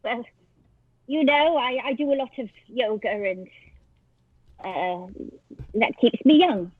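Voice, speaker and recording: A woman's voice: fairly high pitch, slow, breathy edge, no room sound. Sly hesitant old lady, 80s played by a woman, phone-like audio. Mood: coy. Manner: evasive, hesitant with fillers. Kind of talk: improvised comedy. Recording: tinny video call audio.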